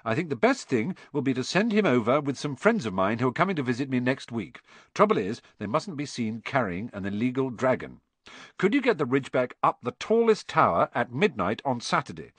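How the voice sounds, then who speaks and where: A man's voice: mid-range voice, sing-song, brisk, a bit bright, husky, dry recording, voice pushed up. A man playing a friendly, practical young man whose letter is read aloud in a storybook. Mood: brisk, friendly.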